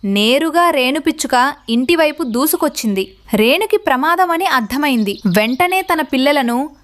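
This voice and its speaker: High-pitched woman narrating children's stories. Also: lively intonation, normal speed. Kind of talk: scripted children's story narration.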